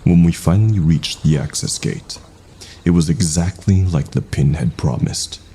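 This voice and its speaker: A man's voice: deep voice, normal speed, warm tone, husky. A man reading a scripted first-person horror story as its narrator.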